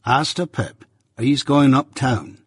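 A man voicing a mild but firm master blacksmith in a storybook reading, with simple, steady rustic speech. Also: medium pitch, slow, husky, no room sound, studio quality. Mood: placating.

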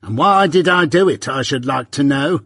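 A man voicing a shrill, scolding blacksmith's wife who talks in a sharp, loud, hectoring way. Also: lively intonation, unhurried, slightly rough, no room sound, clean studio sound.

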